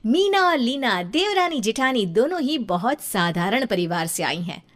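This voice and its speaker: Female cartoon narrator, high register, animated intonation, playful and conversational. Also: normal speed. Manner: conversational, addresses listeners directly. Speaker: story narrator.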